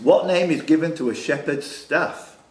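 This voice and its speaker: A man's voice: on the high side, normal speed. A man hosting a quiz in a club room, reading out questions and bantering in a jokey, teasing way. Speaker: quiz host. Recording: echoey room recording with background noise.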